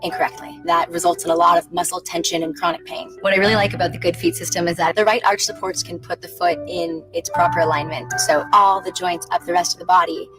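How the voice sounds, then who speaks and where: Lady explaining stuff in an ad, talks fast with vocal fry, bit off mic, music behind. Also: fairly low pitch, recorded from a distance, rough recording. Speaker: kinesiologist giving an ad testimonial. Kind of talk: explanatory ad testimonial.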